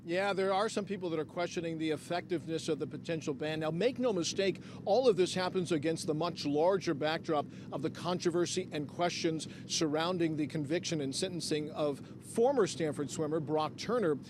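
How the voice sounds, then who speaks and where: A man's voice: fairly quick, few pauses. An American man giving a live television news report from outdoors. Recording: clear outdoor traffic rumble.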